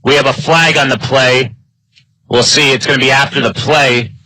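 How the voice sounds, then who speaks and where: A man's voice: medium pitch, steady tone, normal speed, sharp tone, no room sound. A man with an American accent doing live play-by-play commentary of an American football game. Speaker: play-by-play sports announcer.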